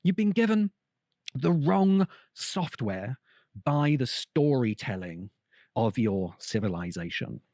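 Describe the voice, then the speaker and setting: A man delivering an essayistic podcast monologue, measured, articulate and emphatic, as a host and critic. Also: mid-range voice, animated, mellow, dry recording, studio quality.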